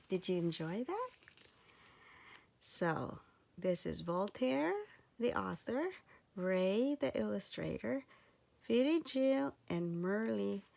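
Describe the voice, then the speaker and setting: A woman reading a children's storybook aloud with expressive narration. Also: mid-range voice, vocal fry. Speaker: storybook reader.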